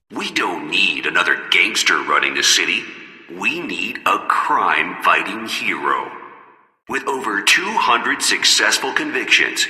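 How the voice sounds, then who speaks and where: Deep American ad announcer, long reverb. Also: male voice, pushed and bright, full character voice. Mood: grave, urgent. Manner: emphatic, persuasive. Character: grave, dramatic male campaign ad announcer. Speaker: political ad announcer. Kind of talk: scripted campaign ad narration. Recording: long reverberant hall echo.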